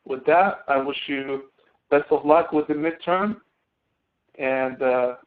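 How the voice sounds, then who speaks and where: A male instructor walks students through material step by step in an online session. His delivery is halting, with frequent restarts, at an ordinary male pitch. The audio is thin and narrow, like a phone connection. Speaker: college instructor. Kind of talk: online tutorial, explaining step by step. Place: online screen-sharing class session. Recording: tinny phone-like webinar audio.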